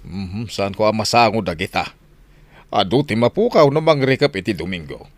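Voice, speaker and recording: A man's voice: on the low side, animated, moderate pace, no echo. An actor with a Filipino accent playing a stubborn, money-minded husband and father in a radio drama, grumbling and dismissive. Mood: irritated, stubborn. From Philippines. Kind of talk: Philippine radio drama acting.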